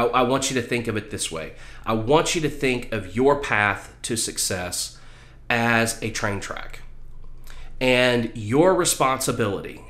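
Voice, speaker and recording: Male, middle-aged, medium pitch. Emphatic career-advice vlogger speaking to camera in an echoey small room. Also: a bit bright. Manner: emphatic, repeats phrases for stress. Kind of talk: motivational talk to camera. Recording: roomy, echoey indoor sound.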